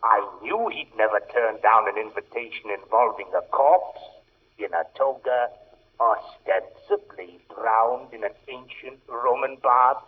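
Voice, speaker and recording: An actor playing a suave, gloating criminal mastermind in a 1940s radio drama, with a husky voice and a mock-polite, deliberate way of talking. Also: male voice, medium pitch. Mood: smug. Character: suave gloating criminal mastermind professor, coldly amused villain. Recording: muffled phone-like vintage radio recording.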